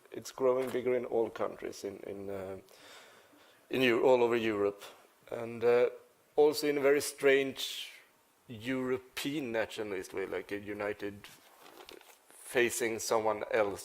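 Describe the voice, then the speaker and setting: Guy hosting a panel talk, rambles through his questions, lots of ums. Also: medium pitch. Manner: hesitant, long rambling questions. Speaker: panel moderator.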